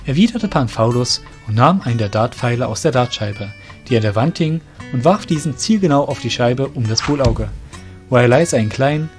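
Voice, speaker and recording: A man narrates a fantasy tale in a scripted storytelling style. His pitch is medium for a man, and soft music runs underneath. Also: animated, fairly quick.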